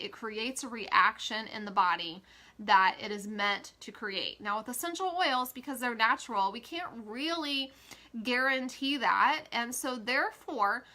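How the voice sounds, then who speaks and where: A woman's voice: on the high side, pushed and bright. A woman with a stuffy, congested voice chatting casually as an essential oil educator, with frequent fillers. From Kansas, USA. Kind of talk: casual social media live talk.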